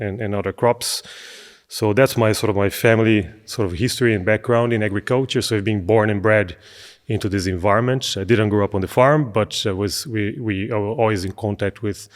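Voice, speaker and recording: A man's voice: lowish voice, big-room sound. A middle-aged man from Brazil, a podcast guest speaking thoughtfully, with frequent fillers and restarts as he searches for words. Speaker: podcast guest, business analyst. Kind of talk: conversational interview.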